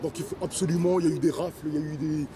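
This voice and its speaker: A man's voice: fairly high pitch, fairly even intonation, very fast. A man from Congo answering interview questions casually and colloquially, outdoors in a city park. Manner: colloquial, informal. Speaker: sports trainer and community activist. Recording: outdoor, very noisy urban crowd.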